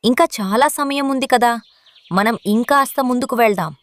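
A woman's voice: on the high side, moderate pace, close to an everyday voice. A woman voicing a friendly, persuasive female bird in a children's cartoon. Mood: coaxing.

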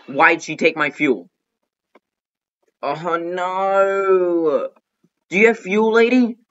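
A man giving excitable, chatty gameplay commentary as a gaming video host, talking to himself and often repeating phrases. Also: a little high. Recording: dull, lo-fi microphone.

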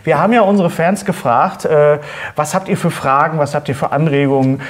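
Higher-voiced middle-aged man hosting. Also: brisk. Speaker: talk show host. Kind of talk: casual interview chat. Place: small indoor studio set at a table.

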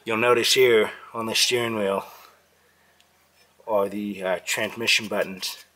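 A man filming a walkthrough of an old barn, narrating in a calm, matter-of-fact way with pauses, recorded on a handheld camera inside a metal barn. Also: fairly low pitch, a little hiss or hum. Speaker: vlogger filming a barn find. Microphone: handheld camera mic.

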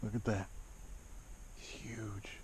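A middle-aged man filming himself fishing from a kayak on a lake, swinging between excited exclamations and muttered asides. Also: on the low side, takes it slowly. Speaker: fishing vlogger. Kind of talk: casual fishing commentary. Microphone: action camera mounted on the kayak. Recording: dull outdoor action-camera audio with wind and water noise.

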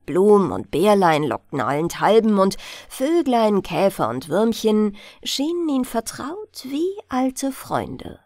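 A woman reading a fairy tale as a bedtime audiobook, in an expressive storytelling manner. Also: mid-range voice, slow pace, few pauses, dry recording, studio quality. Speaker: audiobook reader.

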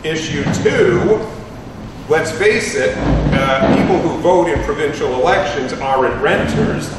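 A man's voice: a little high, lively intonation, a little slow. A man in his thirties talks through his points on a panel, wry and analytical, with lots of fillers. He sounds far from the mic in a very echoey room with noticeable background noise. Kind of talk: panel discussion talk. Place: large room with panel table.

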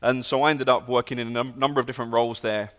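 A man's voice: medium pitch, very fast. British man preaching in a reverberant church. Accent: British, London. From England. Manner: earnest, storytelling, lively emphasis. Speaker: guest speaker. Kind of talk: sermon. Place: large church sanctuary. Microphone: handheld and stage mic. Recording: long hall reverb.

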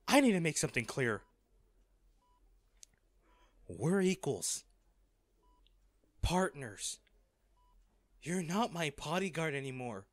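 A man playing a wealthy, devoted, wounded lover in an intimate, halting audio drama. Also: sits high, fairly bright tone, dry recording, heavily acted. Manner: slow, halting, emotional delivery. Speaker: voice actor in an audio roleplay.

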